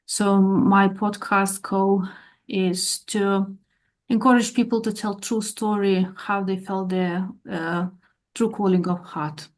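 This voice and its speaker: A woman's voice: medium pitch, steady tone, takes it slowly. A woman, a holistic coach, introducing herself hesitantly on a video call. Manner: hesitant, with many fillers. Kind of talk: self-introduction on a group call.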